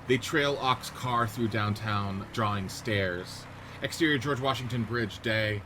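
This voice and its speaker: A man's voice: on the low side, measured pace, background noise. A man narrating a radio-play script, reading out stage directions and setting each scene descriptively.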